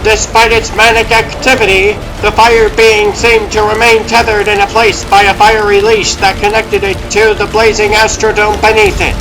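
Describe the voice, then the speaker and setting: Male narrator, high-set voice, scripted dramatic storytelling over prominent music. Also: low-quality recording.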